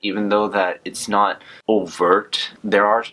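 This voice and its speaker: A teenage boy, a high school senior, speaking in a measured, reflective way in a documentary interview. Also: flat delivery, sharp tone. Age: teens.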